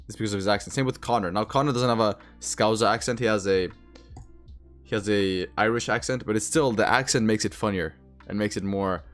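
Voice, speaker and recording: A young man from Sweden, with roots in Eastern Europe, who learned to speak with an American accent. He hosts a trading livestream, chatting casually with viewers, using slang and repeating his phrases. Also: fairly low pitch. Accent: learned American English. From Sweden, originally Eastern Europe. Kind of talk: casual live-stream chat reading comments.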